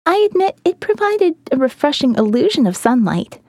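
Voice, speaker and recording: A woman's voice: high voice, big pitch swings, moderate pace, darkish tone, no echo, clean studio sound. A woman reading scripted first-person dramatic narration as the narrator of an audio novel.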